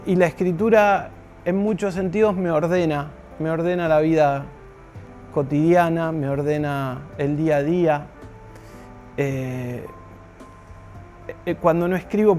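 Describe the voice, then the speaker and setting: A man speaks reflectively as a writer, very slowly and with thoughtful hesitations. His voice sits a little high, and music plays underneath. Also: darkish tone. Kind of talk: reflective personal talk.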